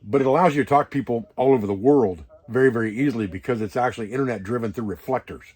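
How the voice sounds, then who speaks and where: A man's voice: medium pitch, racing, a little mellow, slightly rough. A man with a mid-range voice hosts an instructional vlog in a casual register. He explains in a loose, rambling, practical manner, like an instructor walking viewers through a setup. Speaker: outdoor preparedness instructor and video host. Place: wall tent at a hunting camp.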